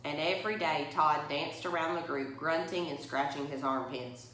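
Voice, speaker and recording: A woman's voice: deep voice, steady tone, moderate pace, a bit bright, heavy reverb, low-quality recording. A woman reading a children's bedtime story aloud, expressively and directly to young listeners. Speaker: story reader for a church.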